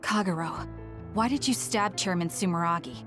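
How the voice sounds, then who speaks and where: A woman's voice: lowish voice, moderate pace, fairly bright tone, soft background music, natural voice. An actress playing a grave, troubled young female fighter in a video game, speaking with solemn restraint. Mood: serious. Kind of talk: dramatic video game voice acting.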